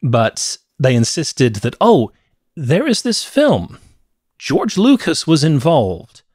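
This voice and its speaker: A middle-aged man co-hosting a podcast, telling stories about movies in a casual, deliberate way. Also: fairly high pitch, wildly swooping pitch, a little mellow, slightly rough, no room sound, studio quality. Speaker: podcast co-host. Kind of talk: casual movie review chat. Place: cluttered home room with shelves. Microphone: close podcast mic with headset.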